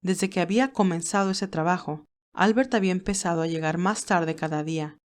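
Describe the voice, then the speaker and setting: A woman's voice: fairly low pitch, a little fast. A woman reading scripted story narration as an audiobook narrator.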